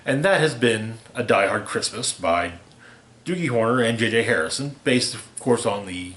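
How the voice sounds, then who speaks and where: A man reading a rhyming holiday parody aloud as a storyteller, with theatrical, deliberate delivery. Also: lowish voice, lively intonation, a bit bright. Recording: roomy, echoey home recording.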